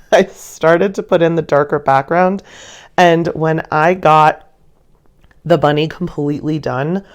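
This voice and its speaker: A woman's voice: low voice, a little mellow. A woman giving a chatty, playful voice-over about her painting, telling it like a story with giggly, gushing emphasis on her words. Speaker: art video creator and narrator.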